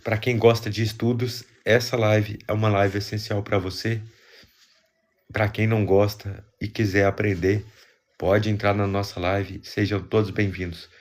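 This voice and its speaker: A man with a fairly low voice and a Brazilian accent, congested from a cold. He hosts a live stream in a casual, chatty way, speaking directly to his viewers. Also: little pitch movement, unhurried. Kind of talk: casual livestream chat, greeting viewers.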